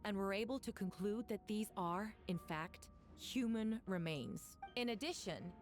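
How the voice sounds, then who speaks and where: An actress voices a severe lady prosecutor in a fantasy video game court. Her delivery is formal, assertive and articulate, mid-pitched, with light music behind it. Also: a little slow, natural voice. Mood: businesslike.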